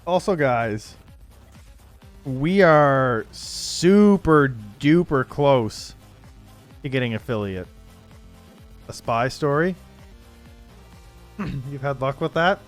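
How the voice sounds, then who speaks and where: A man hosting a casual game livestream, thinking aloud haltingly and improvising story prompts as he goes. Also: mid-range voice, animated, faint music behind. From Canada. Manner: halting, thinking aloud, frequent throat clearing. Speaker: livestream host.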